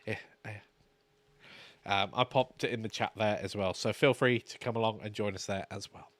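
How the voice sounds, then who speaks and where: A man co-hosting a casual live stream, easygoing and quick to laugh. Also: fairly low pitch, steady tone, a bit bright. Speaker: co-host.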